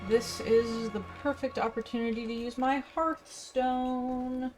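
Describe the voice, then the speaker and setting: A woman's voice: sits high, fairly even intonation, measured pace, a little mellow. Woman gamer commentating over game music. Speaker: gaming video host. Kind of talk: casual game playthrough commentary, reading quest text aloud.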